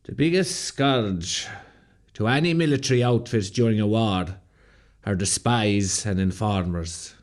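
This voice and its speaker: An actor playing a grave rebel commander recalling a war, in measured, solemn radio drama narration. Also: male voice, on the low side, lightly acted. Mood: bitter, solemn. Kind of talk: scripted first-person drama narration.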